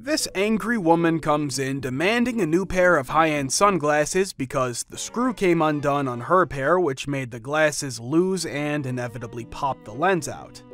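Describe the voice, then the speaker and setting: A man narrating first-person workplace stories from a script, with sudden jumps up in pitch and loudness mid-sentence. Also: animated, measured pace, hardly any pauses. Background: faint background music.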